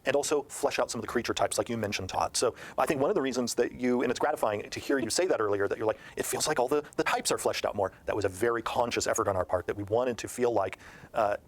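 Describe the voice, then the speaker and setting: A man's voice: medium pitch, quick pace. A man talking as a game designer in an interview, explaining a tabletop game book in a conversational way.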